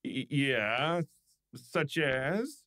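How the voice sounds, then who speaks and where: A man playing a gruff, stubborn police inspector, blustery and stammering, in an old-time radio drama style. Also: medium pitch, expressive, very slow, sharp tone, no echo, clean studio sound, exaggerated voice. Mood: stubborn, flustered.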